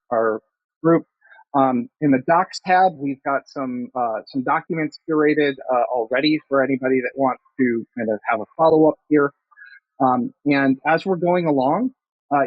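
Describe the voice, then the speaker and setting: A man's voice: mid-range voice, dry recording. A man hosting a webinar, talking loosely and often restarting his phrases. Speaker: webinar host. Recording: dry, dull lo-fi sound.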